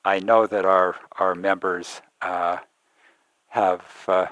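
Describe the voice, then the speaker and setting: A man's voice: sits low, flat delivery, takes it slowly, a bit bright, dry recording, dull audio. A man interviewing a church member, hesitant and repeating words as he asks questions.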